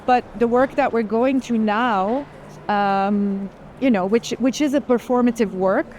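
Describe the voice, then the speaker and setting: A woman's voice: on the high side, unhurried, a little mellow. A woman speaking as an art curator in a thoughtful, unscripted discussion, qualifying and repeating words as she thinks. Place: indoor art fair, walking among stands. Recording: faint busy fair hall noise.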